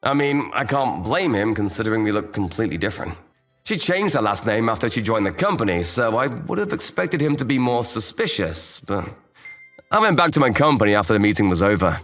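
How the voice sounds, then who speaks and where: Man voicing an expressive, easygoing young guy. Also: fairly low pitch, a little fast, dry recording, soft background music. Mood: wry narration. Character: easygoing, kindly young office-worker stepbrother. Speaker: narrator and lead character. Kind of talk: manga dub narration and dialogue.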